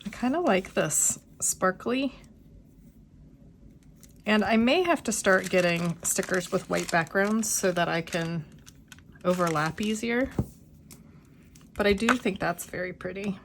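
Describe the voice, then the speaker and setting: A middle-aged woman with a cold and a slightly hoarse voice, musing aloud in a casual plan-with-me vlog at home. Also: medium pitch, slight background noise. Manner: unhurried, musing aloud while crafting. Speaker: planner vlogger. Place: quiet home.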